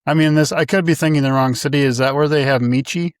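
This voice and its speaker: A man's voice: a little high, fairly even intonation, fast talker, dry recording, clean studio sound. A man hosting a podcast, greeting listeners and chatting casually with a guest in a friendly, slightly rambling way. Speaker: podcast host. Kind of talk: podcast interview.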